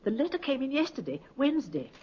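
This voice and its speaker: A woman playing an anxious, disapproving middle-aged mother with a husky voice in an old radio drama. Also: sits high, big pitch swings, fast talker, a little hiss or hum. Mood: worried, insistent. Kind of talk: 1950s radio drama acting. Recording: muffled old radio recording.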